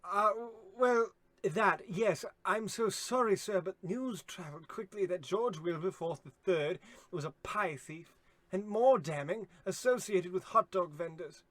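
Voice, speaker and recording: A man's voice: sits high, a little slow, a bit bright, no echo. A man playing a meek, loyal, deferential longtime servant who stammers, in a radio comedy play. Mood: nervous apology. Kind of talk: old-time radio comedy acting.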